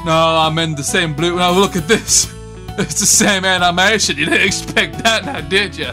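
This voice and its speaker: High-pitched man doing goofy comedy dub. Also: normal speed. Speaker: comedic dubber. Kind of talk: improvised comedy dub over game cutscenes. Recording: loud music behind.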